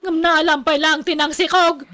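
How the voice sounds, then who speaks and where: A woman's voice: sits high, fairly even intonation, normal speed, bright, forceful tone. A woman with a Filipino accent playing a shocked, scolding mother in a radio drama, speaking indignantly. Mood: shocked, angry. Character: shocked, scolding mother of a grown student son.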